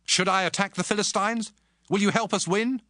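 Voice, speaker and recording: A man's voice: sits high, fairly even intonation, normal speed, pushed and bright, no room sound, clean studio sound. A man playing a commanding, devout ancient king in a dramatized audio Bible. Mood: pleading. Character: King Saul, commanding, stern, devout Israelite king.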